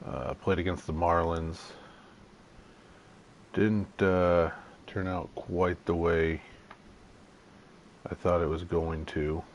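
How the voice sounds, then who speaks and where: A man casually commenting on his gameplay, slightly nasal from allergies, halting with lots of fillers. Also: level tone. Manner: halting, many fillers, unhurried and low-key. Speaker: gaming video creator. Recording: audible background noise.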